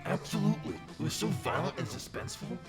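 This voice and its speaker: Casting: man as dopey teen werewolf bro for a game dub; animated, lightly high, enthusiastic slang, loud music underneath. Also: fast talker. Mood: excited. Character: dopey, earnest teenage bro werewolf jock. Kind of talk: amateur visual novel dub.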